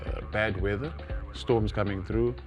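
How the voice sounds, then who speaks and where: A man's voice: lowish voice, fairly even intonation, unhurried, music in the background. A South African man giving formal explanations as an interview guest, in hesitant, stop-start phrases. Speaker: guest, air traffic controller. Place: TV studio.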